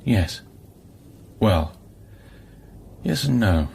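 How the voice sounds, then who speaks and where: A man's voice: lowish voice, very animated, extremely slow, creaky, darkish tone, a little hiss or hum, full character voice. An actor playing a wry, weary male scientist on a space station in an audio drama, speaking in a probing, cryptic way. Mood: cryptic, defensive.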